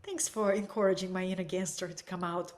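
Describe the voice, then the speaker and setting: A woman with a Russian accent, teaching an online webinar from home. She speaks softly and sometimes drops into a breathy whisper. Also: lowish voice, fairly even intonation, fairly quick. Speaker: energy healing teacher.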